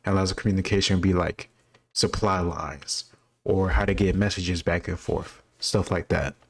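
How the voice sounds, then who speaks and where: Casting: man with a low, flat voice, casual and rambling, reasons out loud and freely admits gaps. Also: moderate pace, gravelly, slightly rough. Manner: thinks aloud, candid about not knowing. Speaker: quiz-taker sharing his own commentary.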